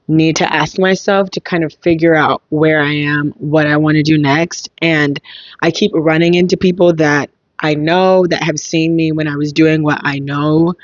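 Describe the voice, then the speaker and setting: A young woman hosting a casual solo podcast, thinking aloud in a reflective way, her voice slightly hoarse. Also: low-pitched, dry recording, dull audio. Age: young adult. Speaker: podcast host.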